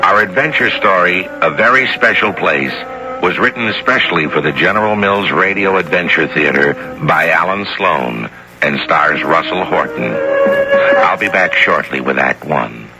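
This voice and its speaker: A man with a deep, low-pitched voice narrates as host of a seventies radio drama anthology. He addresses the listener directly in measured storyteller fashion. Prominent music plays behind him on an old broadcast recording. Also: rough recording.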